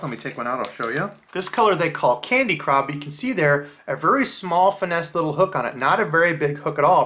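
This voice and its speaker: A man in his thirties talks through fishing gear in a relaxed, chatty way, full of little fillers. His voice sits a bit high for a man and sounds bright and forward. He is recorded close up at a desk in a small room. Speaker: fishing channel host. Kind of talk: casual lure unboxing review. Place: small indoor room with lures on the wall.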